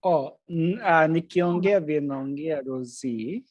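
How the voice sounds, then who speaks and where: A man's voice: fairly high pitch, measured pace, a little mellow, no room sound, muffled recording. A man teaching Swahili over a video call. He explains things patiently, repeats each point in English, and laughs easily.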